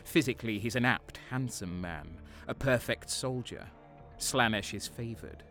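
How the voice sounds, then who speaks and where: An actor playing a military man in a dark fantasy audio drama, speaking in a measured, portentous way. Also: medium pitch, soft background music. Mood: ominous.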